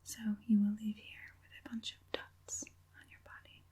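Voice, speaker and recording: A woman voicing an evil witch puppet master in an ASMR roleplay, mostly whispering in a breathy, deliberate, murmuring way. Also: mellow, close to an everyday voice. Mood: soothing, sinister. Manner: slow, deliberate, murmuring assent between pauses.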